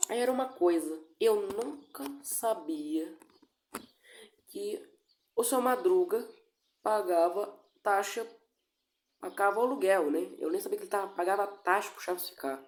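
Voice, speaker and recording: A woman's voice: medium pitch, measured pace, darkish tone. A woman giving casual, off-the-cuff reaction commentary.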